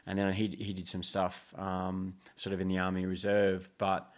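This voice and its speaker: A man's voice: sits low, moderate pace. An Australian man in his fifties, a former soldier, chatting in an interview with frequent ums and restarts. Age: 50s. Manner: relaxed, many ums and restarts. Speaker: guest, former special forces soldier. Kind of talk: casual interview. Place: living room at home.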